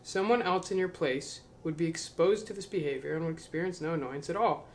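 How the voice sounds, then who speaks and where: Slow, musing man reading aloud. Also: on the high side, slight background noise. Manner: slow, musing, self-questioning, trails off into mumbles. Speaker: channel host reading a book aloud. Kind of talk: reading aloud with reflective commentary. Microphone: to camera.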